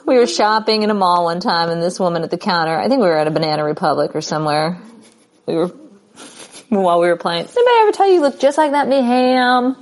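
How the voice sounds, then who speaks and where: A woman in her forties hosting a casual, playful interview, animated and quick to laugh. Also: fairly low pitch. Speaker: interview host.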